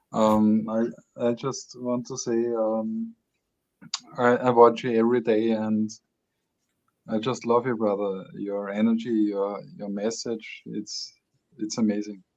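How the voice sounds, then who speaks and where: Hesitant caller from Austria, dull line. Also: male voice, on the low side, level tone, slow pace, long pauses. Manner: hesitant, many fillers, soft-spoken. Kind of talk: casual call-in chat. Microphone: remote call audio. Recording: soft, dull call line.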